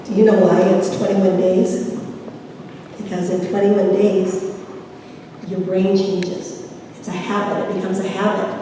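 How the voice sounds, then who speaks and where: A woman minister with a slightly raspy voice gives an informal, rambling, folksy sermon, inviting answers from listeners. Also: mid-range voice, flat delivery, measured pace, pauses often, mellow. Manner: rambling, folksy, seeks audience responses. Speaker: minister leading a Sunday service. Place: large reverberant room at a service. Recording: echoey hall, distant mic, faint noise.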